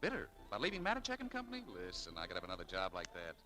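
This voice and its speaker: An actor playing a proud, bitter young shop clerk in a 1940s radio drama, needling and prone to stammering, on a noisy old recording. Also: male voice, sits low, huge pitch swings, rapid-fire.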